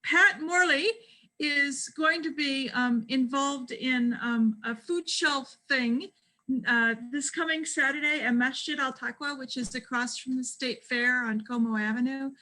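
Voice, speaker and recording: A woman hosting a church adult forum, introducing guests haltingly with many fillers over a video call. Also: sits high, pushed and bright, rough recording. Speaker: forum host. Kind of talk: hesitant introduction.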